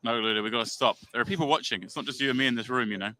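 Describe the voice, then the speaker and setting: A man calling play-by-play on a live poker stream, brisk and lively. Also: medium pitch. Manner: brisk, calls the action, acts out players' reactions.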